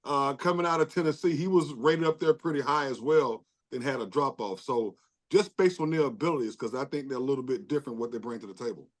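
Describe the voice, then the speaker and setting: A man's voice: mid-range voice, fast talker. A man co-hosting a sports podcast, talking informally and checking his points as he goes. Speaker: podcast co-host. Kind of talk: casual sports chat.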